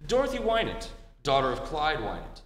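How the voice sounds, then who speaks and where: A man's voice: a little high, huge pitch swings, normal speed. A man playing a witty, flippant married detective in a 1930s-style radio drama. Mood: playful. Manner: flippant, bantering. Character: wry, witty, married private detective, fond of martinis. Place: live stage performance in a theatre. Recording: echoey hall, faint noise.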